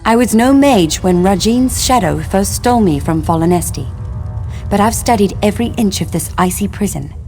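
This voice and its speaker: An actress playing a long-imprisoned elven wife in a fantasy game, speaking formally and solemnly, with bitterness and longing. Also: female voice, medium pitch, expressive, normal speed, a little mellow, faint music behind, close to an everyday voice. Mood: apologetic, measured. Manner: formal, archaic, solemn phrasing. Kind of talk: scripted fantasy game dialogue.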